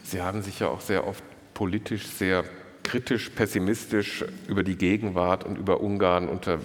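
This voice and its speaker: Low-voiced male interviewer in a hall. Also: little pitch movement, normal speed. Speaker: interviewer, event host. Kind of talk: prepared, formal interview questions. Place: large echoing room at a live literary event. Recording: reverberant hall.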